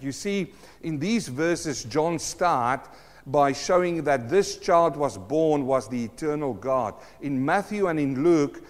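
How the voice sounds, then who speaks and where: Earnest pastor. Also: male voice, medium pitch, in a large hall. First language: Afrikaans. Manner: earnest, addresses listeners as friends, asks rhetorical questions. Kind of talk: sermon.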